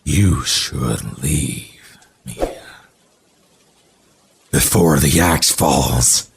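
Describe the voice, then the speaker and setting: An actor playing a vengeful, sardonic husband back from the dead in a horror audio drama, speaking with slow menace. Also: male voice, rumbling low, vocal fry, slight background noise, full character voice. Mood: sinister menace. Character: murdered husband reanimated as vengeful, sardonic dismembered corpse.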